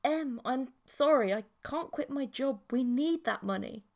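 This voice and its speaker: Woman voicing an awkward, animated young boyfriend. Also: high voice, a little slow, vocal fry, voice pushed up, exaggerated voice. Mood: reluctant, firm. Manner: hesitant, stammering. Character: awkward, easily flustered geeky young man, submissive boyfriend.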